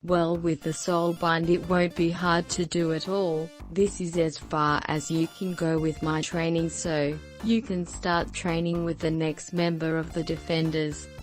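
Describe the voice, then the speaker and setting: Man playing a flat, high-voiced combat trainer. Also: unhurried, few pauses, soft background music, close to an everyday voice. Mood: matter-of-fact. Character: matter-of-fact combat trainer of a team. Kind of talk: amateur comic dub acting.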